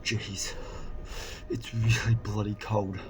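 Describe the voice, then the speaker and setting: A man playing a flippant, loyal young adventurer in an amateur sci-fi audio drama, speaking informally and excitably. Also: fairly low pitch, slow, noisy background. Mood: flippant, curious.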